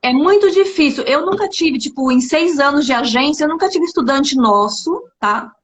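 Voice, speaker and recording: A woman's voice: sits high, expressive, moderate pace, a little hiss or hum. A woman from Brazil hosting a casual live stream for an exchange agency, talking in an upbeat, chatty way and often checking in with "né?". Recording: slightly dull, lo-fi live-stream audio.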